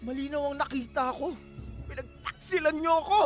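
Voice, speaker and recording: An actor playing a bitter, jealous husband in a Filipino radio drama, curt, sarcastic and accusing. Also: male voice, very high voice, a little fast, over music. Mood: furious, accusing.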